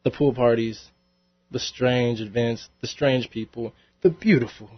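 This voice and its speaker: A young man talks through his music in a relaxed, rambling way, laughing easily between thoughts. His voice sits at an ordinary pitch. The studio sound is dry and a little dull. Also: unhurried, no room sound. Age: young adult. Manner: laid-back, laughs often, rambling with fillers. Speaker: rapper guest. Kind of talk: casual interview chat. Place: college radio studio.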